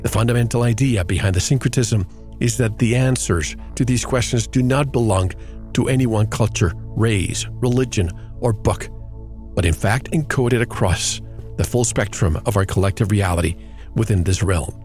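A lower-pitched man hosts a radio interview show, speaking in a measured, earnest manner. He moves from a scripted introduction into inviting questions for his guest. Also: a little mellow, soft background music.

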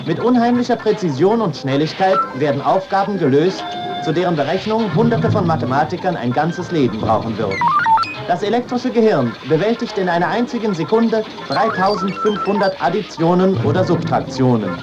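Vintage commentator over loud music. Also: mid-range voice, very animated, a little fast, muffled recording. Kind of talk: vintage newsreel-like scripted commentary.

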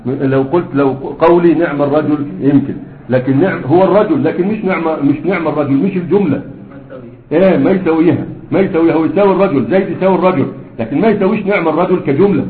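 A man's voice: a little high, quick pace. A man with an Egyptian accent teaching a grammar class, quizzing his students with prompting questions as he explains. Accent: Egyptian Arabic. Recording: echoey room, phone-like sound.

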